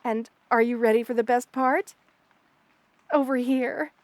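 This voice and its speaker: A woman voicing a kind, motherly spider queen in an ASMR roleplay, soft-spoken, doting and reassuring as she speaks to the listener. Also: a little high, fairly even intonation, unhurried, dry recording, lightly acted. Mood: fond, excited. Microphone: close studio mic, dry.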